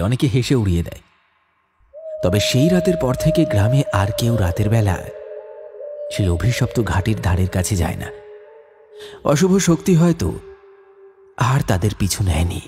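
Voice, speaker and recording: Horror storyteller, male: low register, animated dramatic scripted read. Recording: clear background noise, ambience.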